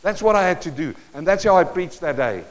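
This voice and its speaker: Pastor, male, Afrikaans accent, slightly high voice, lively storytelling sermon, miked in a church hall. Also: brisk. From South Africa. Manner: storytelling, animated bursts, moves around the stage. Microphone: worn or handheld mic that cuts in and out while he moves.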